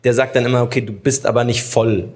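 A man's voice: mid-range voice, fairly even intonation, fast, darkish tone. A young man born in Germany answering interview questions on stage in an easygoing, candid way. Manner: easygoing, laughs often, candid. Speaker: guest.

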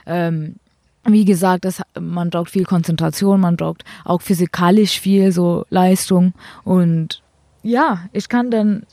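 A woman's voice: on the low side, steady tone, slow pace, dark tone, no room sound, studio quality. A young woman from India with an Indian accent, a cricket player answering interview questions in simple, hesitant German full of fillers.

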